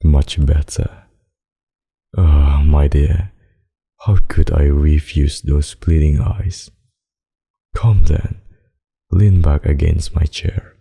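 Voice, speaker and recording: Man playing a very deep, dark, possessive vampire master. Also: steady tone, gravelly, breathy edge, exaggerated voice. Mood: mockingly tender. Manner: slow, dominant, silky, condescending endearments. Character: centuries-old possessive, sadistic vampire master, smooth and menacing. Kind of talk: ASMR roleplay, intimate scripted monologue.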